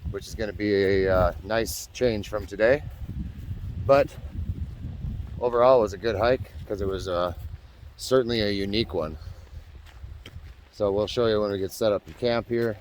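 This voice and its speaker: A man narrating his backpacking trip to the camera outdoors on a trail, chatty and self-deprecating, his voice going hoarse. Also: fairly low pitch, normal speed, long pauses, a little mellow, slight background noise. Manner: chatty, self-deprecating, addresses viewers directly. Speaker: backpacking vlogger. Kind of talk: casual vlog narration on the trail. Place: outdoors on a hiking trail by a creek.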